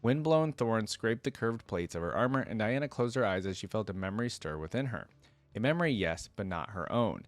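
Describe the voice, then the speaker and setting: A man's voice: fairly low pitch, expressive, fairly quick, dry recording, faint music behind. A man giving casual reaction commentary and reading fantasy lore aloud as the host of a reaction video.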